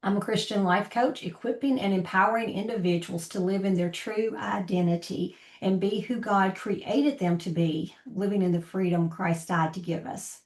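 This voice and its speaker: A woman's voice: medium pitch, moderate pace, few pauses, darkish tone. Woman podcast host, life coach, encouraging, echoey room. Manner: encouraging, affirming interjections. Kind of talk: podcast interview hosting. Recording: roomy echo.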